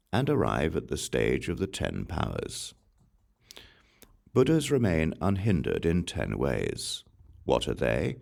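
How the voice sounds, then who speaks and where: A man reading scripture as a scripted audiobook narration in a measured, solemn way. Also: a bass voice, creaky, a little mellow. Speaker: audiobook reader.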